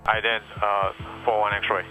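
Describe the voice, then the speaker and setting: A man's voice: lowish voice, level tone, measured pace, lo-fi sound, over music. A male pilot giving short, slightly hesitant readbacks over a distant radio transmission.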